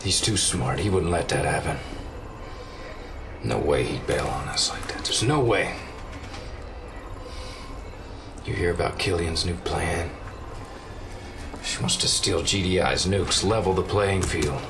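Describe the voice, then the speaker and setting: An actor playing a cynical but loyal military officer in a video game cutscene, with a colloquial, indignant way of talking. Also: male voice, low voice, unhurried, background noise. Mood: disbelieving.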